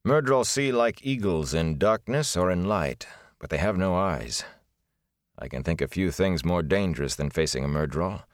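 A man voicing a stern, grim warrior bodyguard who speaks quietly and gruffly. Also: low voice, lively intonation, normal speed, no room sound, studio quality.

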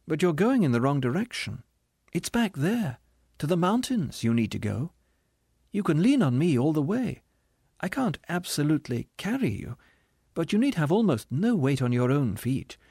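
A bright heavenly spirit in a storybook, as a man acts it out. It speaks somewhat high with animated, rising and falling phrases, kindly, patient and earnest as it coaxes. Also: normal speed, creaky, slightly rough, no echo, studio quality, exaggerated voice. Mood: coaxing. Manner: gentle, earnest, coaxing. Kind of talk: audiobook character voice.

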